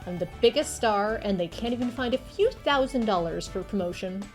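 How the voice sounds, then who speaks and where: A woman's voice: mid-range voice, animated, fairly quick, soft background music, close to an everyday voice. A woman voicing a vain young TV actress in a video game, scornful, whiny and dramatic. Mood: petulant. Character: vain, snooty young TV actress, spiteful and self-important.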